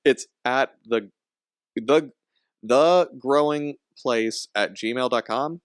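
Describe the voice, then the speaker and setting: A man's voice: mid-range voice, takes it slowly, smooth, dry recording, clean studio sound. A young man hosting a casual podcast, rambling with frequent laughs and restarts. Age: young adult. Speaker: podcast host.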